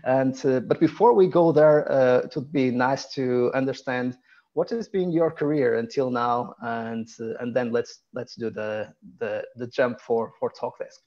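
A man hosting a live video podcast over a video call, introducing his guest with a halting, hesitant delivery. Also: medium pitch, warm tone, dull audio. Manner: halting, many ums and restarts. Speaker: podcast host.

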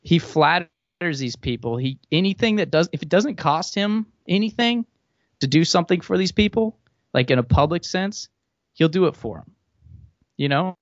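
A man's voice: a little high, animated, normal speed, no echo. Rambling man with a dull, dry sound. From grew up in Thailand. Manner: rambling, many restarts and fillers. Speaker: podcast guest. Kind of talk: casual political chat.

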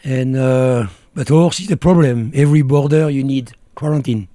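A middle-aged Frenchman who speaks English with a French accent, answering questions in a relaxed, casual interview. His voice is mellow and dark, and he talks bluntly in short, broken phrases. The sound is dry and close to the mic. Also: mid-range voice, moderate pace, no echo. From France. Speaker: guest.

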